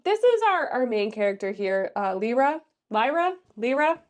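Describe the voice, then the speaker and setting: A woman's voice: on the high side, big pitch swings, moderate pace. A woman in her late twenties, stuffed up and congested, giving a chatty, sassy book review with plenty of fillers. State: congested from allergies. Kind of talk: casual book review vlog.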